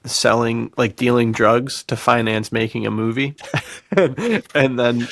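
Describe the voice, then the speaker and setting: Hyped podcast guy, creaky voice, dry sound, super casual and slangy. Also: medium pitch, takes it slowly, a bit bright, dry recording. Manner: enthusiastic, effusive, slangy, laughs often. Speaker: podcast host.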